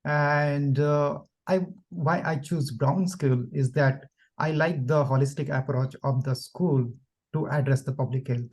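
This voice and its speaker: A man's voice: fairly high pitch, steady tone, a little slow, a little mellow. Polite man from India on a panel. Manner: polite, thanks people repeatedly. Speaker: graduate student panelist. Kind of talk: online panel introduction. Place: video call.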